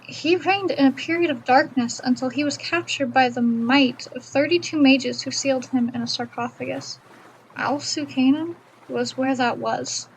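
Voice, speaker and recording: A woman plays a learned female privateer in a fantasy audio drama. Her voice is high, and she explains things earnestly and precisely, like someone giving a lecture. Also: normal speed, slight background noise, poor audio. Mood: grave storytelling.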